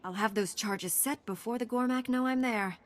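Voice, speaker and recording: A woman's voice: a little high, moderate pace, lightly acted. A woman voicing a resolute young Jedi knight in a video game. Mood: determined, inquiring.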